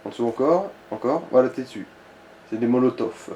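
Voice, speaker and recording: A man's voice: medium pitch, animated, a little fast, dark tone, echoey room, slight background noise. A man giving casual, coarse and slangy gaming commentary as he guides another player. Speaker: let's play co-host guiding the player.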